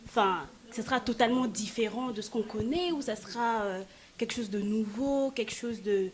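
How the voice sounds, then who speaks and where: A woman from Belgium hosting an interview, chatty, filling pauses with hesitations as she asks her questions. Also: a little high. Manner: chatty, fills pauses with euh. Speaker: interview host.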